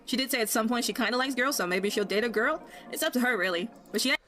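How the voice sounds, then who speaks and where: A woman's voice: fairly high pitch, fast talker, fairly bright tone. A woman commentator gossiping casually in a sarcastic, snide manner. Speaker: online video commentator. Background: background music.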